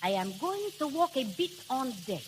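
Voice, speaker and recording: An actress playing a charming, flirtatious French woman in a 1930s radio drama, speaking coy, halting English with a French accent. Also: sits high, big pitch swings, a little hiss or hum. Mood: smooth, scheming. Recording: old 1930s radio recording, faint music.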